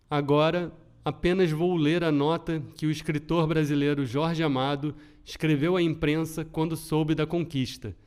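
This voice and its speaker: A middle-aged man with a Brazilian accent, hosting a podcast in an earnest, measured reading style. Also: fairly high pitch, darkish tone, smooth. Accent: Brazilian Portuguese. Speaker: podcast host. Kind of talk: scripted podcast narration.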